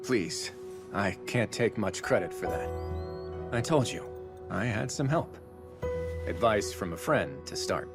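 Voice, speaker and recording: A man's voice: lowish voice, lively intonation, unhurried, pauses often. An actor playing a courteous, confident male warrior chief and strategist in a video game cutscene. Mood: modest, relieved. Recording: music behind the voice.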